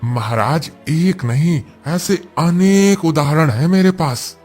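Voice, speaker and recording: Man as earnest village priest's spirit, respectful, slightly high voice, slow, cartoon fable. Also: a little mellow, faint music behind. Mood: earnest, complaining. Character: deferential dead village priest, a man's soul. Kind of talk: Hindi cartoon moral story acting.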